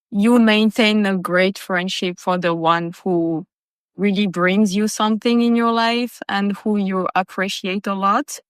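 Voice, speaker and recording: A woman hosting a casual conversational interview, speaking in a halting way. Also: medium pitch, smooth, dry recording, studio quality. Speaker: podcast host.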